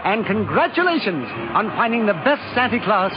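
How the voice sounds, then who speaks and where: A man's voice: high voice, big pitch swings, moderate pace, over music. An actor playing an effusive, fussy American department-store publicity man in a 1940s radio drama. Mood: gushing. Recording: muffled, faintly noisy 1940s radio recording.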